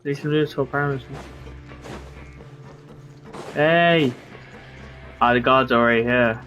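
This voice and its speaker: A man's voice: mid-range voice, slow pace, a bit bright, dull audio, faint music behind. A man streaming a game, giving casual, rambling commentary in mumbled asides.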